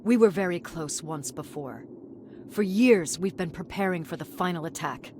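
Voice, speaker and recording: An actress playing an embittered, commanding military field commander in a video game, blunt and profane in manner. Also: female voice, fairly low pitch, animated, moderate pace, darkish tone, slight background noise, close to an everyday voice. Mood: grim, controlled. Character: hard-edged, embittered female resistance field commander. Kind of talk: dramatic video game acting.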